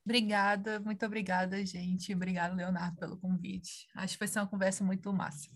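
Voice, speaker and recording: A woman's voice: medium pitch, level tone, fairly quick. A woman who is an astronomer, a guest on a podcast, explaining ideas casually and thinking aloud with small restarts.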